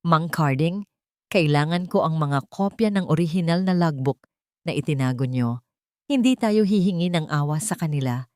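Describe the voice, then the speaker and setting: A woman's voice: low voice, moderate pace, a little mellow, no echo, clean studio sound, close to an everyday voice. A woman voicing a determined female airline captain who speaks with firm authority. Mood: commanding. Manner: authoritative, resolute.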